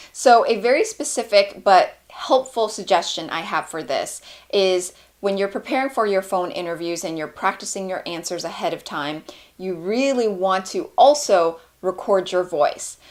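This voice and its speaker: A woman recruiter giving instructional career advice in an emphatic, direct way, speaking straight to the listener. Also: mid-range voice. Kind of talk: instructional video advice to job seekers.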